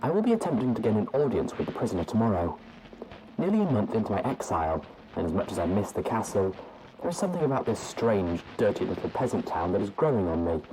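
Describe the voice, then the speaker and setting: A man reads a fantasy story aloud in a formal, measured way, as if from a journal. His voice sits very low, and a clear layer of noise runs under the recording. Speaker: audiobook narrator. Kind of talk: scripted narration of a fan fiction.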